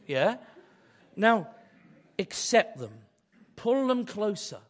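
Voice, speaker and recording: A man preaching a sermon, hoarse from a cold, asking his congregation rhetorical questions in a conversational way. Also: high voice, very animated, drawn-out words. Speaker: pastor.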